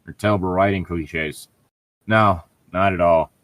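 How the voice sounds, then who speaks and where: A man's voice: low-pitched, fairly even intonation, unhurried, a bit bright. A man reading fan fiction aloud as a podcast host and riffing on it casually, in an exasperated, sarcastic and often profane manner. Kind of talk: casual riffing between lines read aloud.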